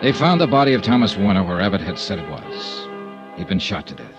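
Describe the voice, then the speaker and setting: A hard-boiled investigator. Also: male voice, low-pitched, big pitch swings, a little fast, dull audio, loud music behind the voice. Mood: narrating. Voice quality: clipped, firm, hard-boiled. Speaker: insurance investigator lead and narrator. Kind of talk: 1950s radio drama acting and narration.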